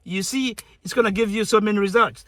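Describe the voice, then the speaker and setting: A man's voice: high voice, fast talker, fairly bright tone, no echo, studio quality. A young man from Zambia talking casually to his viewers, chatty and conversational, often restarting his sentences. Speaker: YouTuber. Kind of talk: casual direct-to-camera talk.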